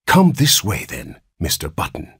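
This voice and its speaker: A man's voice: deep voice, huge pitch swings, measured pace, a bit bright, husky, dry recording, studio quality, heavily acted. A man voicing a stern, contemptuous female hospital nurse in a storybook, severe and insistent. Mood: indignant.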